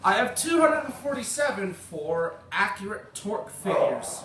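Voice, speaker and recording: A slightly sick American man chats loosely through his gameplay, correcting himself as he goes. His voice sits a touch high for a man and carries the echo of a bare room. He is a little away from the mic, with faint music underneath. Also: sing-song, normal speed, far from the mic, heavy background noise. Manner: chatty, rambling, corrects himself. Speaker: gaming video creator. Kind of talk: casual gameplay commentary.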